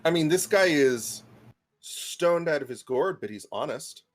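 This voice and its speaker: A man's voice: on the high side, expressive, unhurried. A man running a tabletop game as game master, teasing the players with dry humor.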